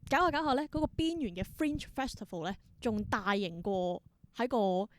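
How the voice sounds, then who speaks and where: A woman's voice: medium pitch, expressive, moderate pace. A young adult woman with a Hong Kong Cantonese accent, chatting reflectively as an interview guest and mixing in English words. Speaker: interview guest, theatre performer and creator. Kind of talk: casual interview conversation.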